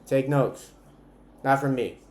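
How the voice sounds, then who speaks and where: A man's voice: mid-range voice, slow, darkish tone. A man chatting casually on a livestream as host of a couples game, bantering and swearing in a teasing way. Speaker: streamer hosting a couples trivia game with partner. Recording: roomy echo with clear background noise.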